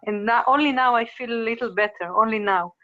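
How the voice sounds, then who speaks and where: A woman's voice: on the high side, fast, muffled recording. Hesitant woman caller from Slovakia. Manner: hesitant, many fillers. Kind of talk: question from a participant.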